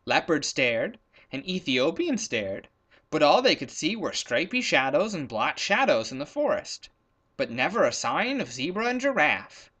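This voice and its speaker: A man narrating a children's story as a storyteller. His delivery is extremely lively, with wide swings of pitch from phrase to phrase. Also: measured pace. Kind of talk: animated children's story reading, scripted narration.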